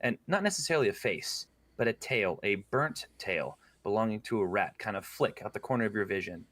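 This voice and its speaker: A man running a tabletop game, narrating scenes slowly, with frequent fillers. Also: lowish voice, animated, fairly bright tone, no echo. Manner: slow descriptive narration with fillers. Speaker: game master.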